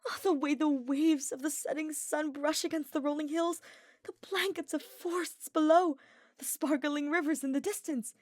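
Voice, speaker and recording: Female reader as young male knight, exuberant, earnest, florid exclamations, raised pitch, storybook drama, dry sound. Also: fairly even intonation, normal speed, dry recording, natural voice. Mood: awestruck, exuberant. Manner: effusive, exclamatory, florid speech. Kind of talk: dramatised fiction reading.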